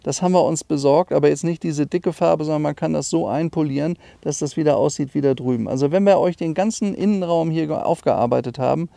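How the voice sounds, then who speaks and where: A man's voice: on the high side, quick pace, creaky, hardly any pauses, mellow, dry recording. A middle-aged man giving an informal, enthusiastic car walkaround as a classic car dealer, explaining details conversationally.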